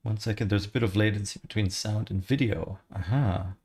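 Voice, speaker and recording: Relaxed low man with gravelly voice. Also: brisk. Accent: mixed Australian, Irish and American. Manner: relaxed, rambling, answers chat questions. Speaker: livestreamer, 3D artist. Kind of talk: casual livestream chat. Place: home studio, indoors. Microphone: headset.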